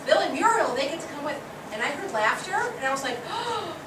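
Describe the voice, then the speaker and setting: A woman with a high voice chatters quickly and animatedly. She is far from the mic in an echoey, noisy room. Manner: animated, chatty, quotes herself. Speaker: speaker in a post-reading discussion. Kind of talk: animated casual anecdote and questioning. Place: theatre during post-reading discussion, far from mic.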